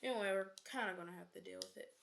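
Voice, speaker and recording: A woman's voice: medium pitch, very fast, vocal fry, fairly bright tone, a little hiss or hum. A young woman streaming a game, chatting casually and rambling off on sidetracks, often correcting herself and dropping into whispered asides.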